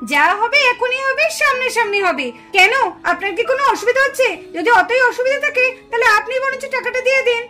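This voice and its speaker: A woman's voice: very high voice, lively intonation, fast talker, hardly any pauses. A woman playing a stubborn, teasing young woman in a puppet show, with insistent, emphatic delivery. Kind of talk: animated puppet-show dialogue. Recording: music behind the voice. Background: music.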